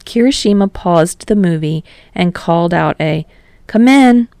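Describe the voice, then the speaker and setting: A woman narrates a story in a scripted audiobook style. Her voice is on the low side with noticeable vocal fry. The recording is close and dry, without room sound. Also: moderate pace, darkish tone, dry recording.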